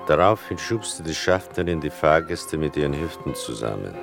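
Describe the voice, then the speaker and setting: Very deep, creaky-voiced male narrator. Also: expressive, music in the background. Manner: measured, wry, deliberate. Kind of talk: literary scripted narration.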